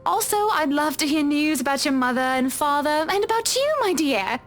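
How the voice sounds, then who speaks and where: High-voiced, genteel lady of the manor. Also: very animated, normal speed, soft background music. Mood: gracious, warm. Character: genteel, affectionate lady of a mansion, wry. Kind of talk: visual novel voice acting.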